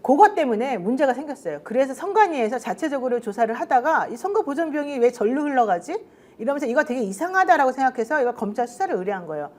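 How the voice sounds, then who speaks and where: A woman hosting a political talk show, explaining things in an animated, conversational way and acting out quotes. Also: sits high, quick pace. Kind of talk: live political commentary, explaining recordings.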